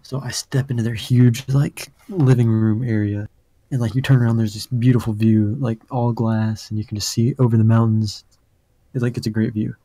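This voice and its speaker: A young man with a deep voice tells a long, rambling story, his tone warm and mellow. He talks into a dry, close mic with no room sound, and keeps dropping in casual fillers. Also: flat delivery, normal speed, no echo. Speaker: podcast host.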